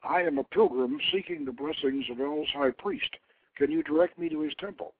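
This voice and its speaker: A man's voice: a little high, moderate pace, slight background noise, natural voice. A man voicing a dignified, resolute pilgrim king in a story, formal and stately. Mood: formal, commanding. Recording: thin phone-like internet radio line.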